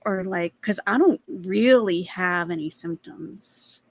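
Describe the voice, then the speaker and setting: Gravelly, husky woman commenting. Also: on the low side, slow. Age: 40s. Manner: chatty, asks viewers questions, laughs often. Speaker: reaction video host. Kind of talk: casual commentary reacting to a clip.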